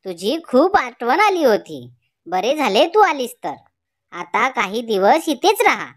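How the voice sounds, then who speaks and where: A woman voicing a loving, gently pleading grown-up village daughter in a children's cartoon story, tender and lilting. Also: fairly high pitch, big pitch swings, unhurried, voice pushed up. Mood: joyful.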